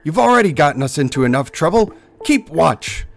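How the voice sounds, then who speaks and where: Man playing a forceful, animated dark elf ranger. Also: a little high, a little fast, vocal fry, pitched above the performer's own voice, exaggerated voice. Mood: grim urgency. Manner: forceful, commanding, theatrical. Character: grim, urgent male dark elf ranger. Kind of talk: fantasy dramatic reading.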